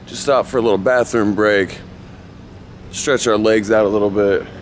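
A man's voice: fairly low pitch, steady tone, normal speed. An American man in his thirties chats casually to the camera in a laid-back, slangy, sweary way. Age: 30s. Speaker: vlogger. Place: outdoors at night at gas stations and parking lots. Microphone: handheld camera at about arm's length. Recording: noisy outdoor roadside, clear background noise.

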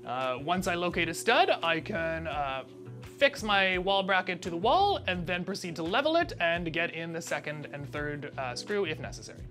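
A man's voice: a little high, sing-song, moderate pace, bright, forceful tone, soft background music. A man in his thirties giving a step-by-step installation tutorial in a small indoor room, with frequent "uh" fillers. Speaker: tutorial presenter. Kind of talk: instructional how-to narration.

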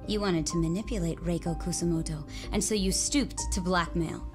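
A woman's voice: on the low side, moderate pace, over music, lightly acted. An actress playing a serious, unflinching female prosecutor in a game cutscene, formal and accusatory. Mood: accusing. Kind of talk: dubbed video game cutscene acting.

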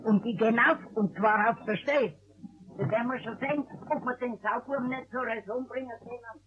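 A meddling Bavarian neighbour woman played by an actress complains with emphatic indignation. The vintage radio drama recording is thin, tinny and clearly noisy. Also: a little high, fairly quick, natural voice. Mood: scornful, determined. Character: indignant, meddling female neighbour, nagging gossip. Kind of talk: 1960s German radio play acting.

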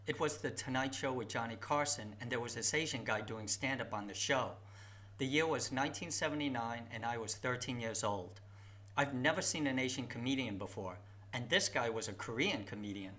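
Fifties male YouTube host, reflective narration, bright tone. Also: medium pitch, a little fast. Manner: reflective, personal storytelling. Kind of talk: narrated video essay.

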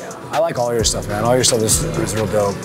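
A man's voice: fairly low pitch, steady tone, fairly quick, over loud music. A man in his thirties, a bodybuilder chatting casually on a gym tour, easygoing and calling people bro and man. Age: 30s. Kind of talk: casual chat. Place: inside a pickup truck, then indoors in a gym and store.

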